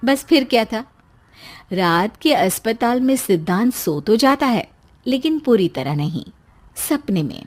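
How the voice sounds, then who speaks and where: A woman narrator tells a scripted story. Her voice is high, and she delivers it as storybook narration. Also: expressive, a little slow, darkish tone.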